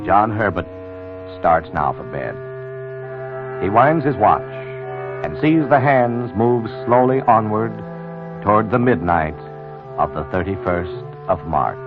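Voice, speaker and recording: A solemn narrator delivers poetic lines in a low voice, in 1940s radio style. Music runs clearly behind him on a slightly muffled old broadcast. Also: male voice, expressive, slow, long pauses. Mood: solemn, lyrical. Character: solemn, poetic male narrator of a somber tale. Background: orchestral music.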